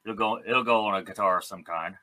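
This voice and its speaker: A man hosting an interview show in a casual, enthusiastic, chatty way, quick to laugh. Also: on the low side, sharp tone. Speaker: host of a music interview show.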